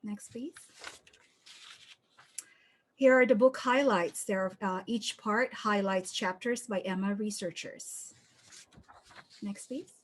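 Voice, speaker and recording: A woman giving a conference talk on a video call, measured, cueing slides and reading quotations aloud. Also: mid-range voice. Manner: measured, reads quotes aloud, cues slides. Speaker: academic presenter.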